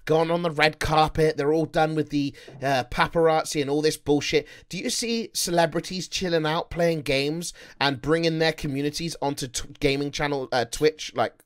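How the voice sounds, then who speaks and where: Young man, stream talk show host, excitable, trips over his words, fairly high voice. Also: normal speed, hardly any pauses. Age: 20s. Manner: excitable, stumbling restarts. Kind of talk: casual group chat on a stream.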